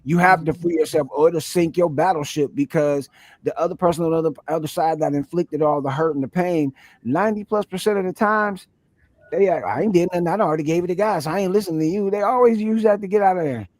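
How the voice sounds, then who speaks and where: Emphatic higher-voiced man, slangy and close. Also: fast, darkish tone, no echo. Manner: slangy, emphatic, repeats phrases. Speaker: performer and panel guest. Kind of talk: casual, heartfelt interview talk.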